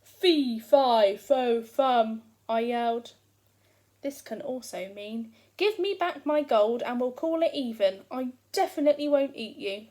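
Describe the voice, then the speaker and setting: A woman's voice: a little high, lively intonation, a little slow, a little mellow. A woman voicing a huge, hungry, self-pitying giant who complains with sarcastic emphasis as he tells his own storybook tale. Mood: aggrieved, angry. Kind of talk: first-person storybook narration read aloud.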